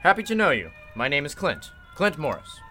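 A man's voice: fairly high pitch, huge pitch swings, a little fast, sharp tone, faint music behind, exaggerated voice. A man playing an affable, courteous district attorney in a radio drama, chatty and curious. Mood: affable, charming. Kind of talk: golden-age radio drama acting.

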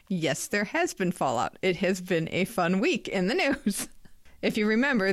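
A woman's voice: on the low side, dry recording. Chatty woman podcast host, dry sound. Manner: chatty, laughs easily, quick asides. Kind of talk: casual chat.

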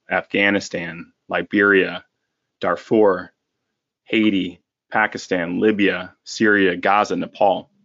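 A man's voice: sits low, a bit bright, dry recording. A man hosting a podcast, reading a guest's bio with admiration and asking questions, hesitating with frequent uhs. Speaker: podcast host. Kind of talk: interview intro and questions. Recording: dull, dry sound.

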